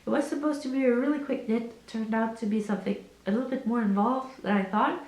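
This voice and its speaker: A woman in her thirties talking casually in a vlog, her voice hoarse and croaky from a cold, calm and unhurried with many fillers. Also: a little high. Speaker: vlogger. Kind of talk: casual chat. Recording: echoey room.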